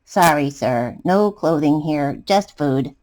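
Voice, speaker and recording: A woman reader puts on a storybook grocery store lady. She is matter-of-fact and curt, with a flat delivery pitched down. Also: slow pace. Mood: unimpressed.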